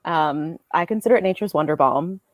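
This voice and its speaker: A woman's voice: low voice, a little fast, no echo. A young American woman talking casually as a podcast guest, chatty with lots of fillers. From United States. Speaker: guest business owner.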